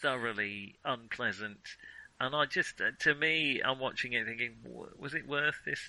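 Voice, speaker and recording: A man's voice: mid-range voice, normal speed, pushed and bright, no room sound, poor audio. A man in a casual film discussion as a podcast co-host, thinking aloud and often correcting himself as he goes.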